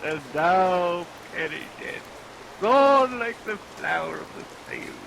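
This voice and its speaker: A man's voice: high-pitched, lively intonation, slow, vocal fry, a bit bright, full character voice, poor audio. An actor playing a pompous, sardonic man with grandiloquent florid speech in a vintage radio melodrama. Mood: pompous, wry. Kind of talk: old-time radio melodrama acting. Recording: hissy vintage radio recording under rain sounds.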